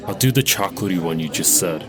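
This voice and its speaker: Dude doing a sad lonely young guy at a bar, super deep, hesitant. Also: animated, fast, a crowd in the background. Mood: subdued. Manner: hesitant, subdued. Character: lonely, guilt-ridden young man at a bar. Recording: audio drama with music underneath.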